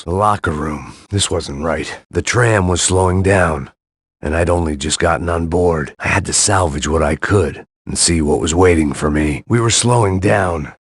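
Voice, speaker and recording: A man voicing a weary, cynical middle-aged ex-cop, narrating a game in a dry, sardonic, husky voice. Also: extremely low pitch, no echo. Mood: world-weary.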